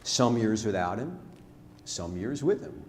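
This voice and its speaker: A male storyteller performs a rhyming ballad poem live in a theatre. His delivery is deliberate and dramatic, moving animatedly from raised cries to hushed lows. The hall rings with long reverb, and there is some noise in the recording. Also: sing-song. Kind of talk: theatrical rhyming verse recitation on stage.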